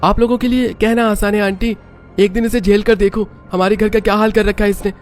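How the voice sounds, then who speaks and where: Male narrator as aggrieved young son, cartoon family drama, much raised pitch, emphatic, quick. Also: level tone, soft background music, natural voice. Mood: irritated, accusing. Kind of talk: cartoon story dialogue.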